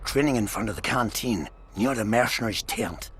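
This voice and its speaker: Man playing a bitter, forceful soldier father. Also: on the low side, a little fast, a little hiss or hum, close to an everyday voice. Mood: despairing. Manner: bitter, fatalistic. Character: bitter, despairing middle-aged soldier father pleading for son. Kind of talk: video game voice acting. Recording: music behind the voice.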